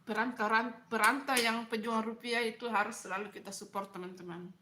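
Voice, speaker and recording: A middle-aged woman with a Makassar Indonesian accent chats with her stream viewers between bites. Her voice is high and bright. The room rings with a noticeable echo, and faint noise sits underneath. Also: fairly even intonation, a little fast. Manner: chatty, warm toward viewers, asks questions and answers comments. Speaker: food vlogger chatting with live viewers. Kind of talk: casual live-stream chat while eating.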